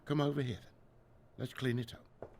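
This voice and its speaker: A man acting in a radio drama as a middle-aged 1920s professor and grieving father, formal and earnest, halting when troubled. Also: medium pitch, steady tone, gravelly, a little mellow, slight background noise, close to an everyday voice. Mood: gentle, worried.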